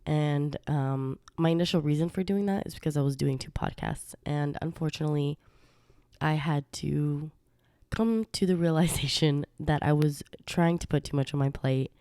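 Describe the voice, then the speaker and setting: A woman's voice: sits low, fairly even intonation, moderate pace, smooth, no room sound, clean studio sound. A woman hosting her own podcast in a chatty, rambling way, sounding congested from a recent illness. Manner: chatty, rambling with fillers and soft laughs. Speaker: podcast host. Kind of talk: casual solo podcast talk.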